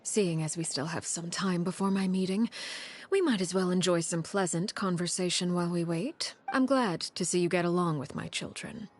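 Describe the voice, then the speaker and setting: An actress playing a cold, menacing female leader of a secretive house in a video game, speaking formally and with measured poise. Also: on the low side, lightly acted. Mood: coolly menacing. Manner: measured, formal, veiled threats.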